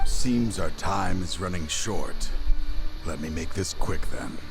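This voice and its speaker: A man's voice: low voice, takes it slowly, over music. An actor playing a bitter, grief-stricken older father who has turned on his former partner in a dramatic video game scene. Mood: wistful, weary.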